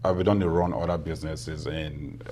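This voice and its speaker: A man from Cameroon speaks in a very deep voice with a Cameroonian English accent, chatting freely in pidgin-flavoured phrases as an interview guest. A faint noise sits under the recording. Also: steady tone, normal speed, dark tone. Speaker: guest, business founder. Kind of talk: casual interview talk.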